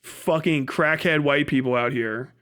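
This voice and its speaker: A man's voice: a little high, unhurried, a bit bright, smooth. An American man live streaming, telling rambling, casual stories in profane, slangy language with comic exaggeration. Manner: breaks into laughter at own stories.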